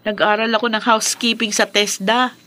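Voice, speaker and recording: A woman's voice: fairly high pitch, level tone, normal speed, bright, forceful tone. An actress playing a plucky, determined young working-class woman in a Filipino radio drama, with a Filipino accent. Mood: proud, earnest.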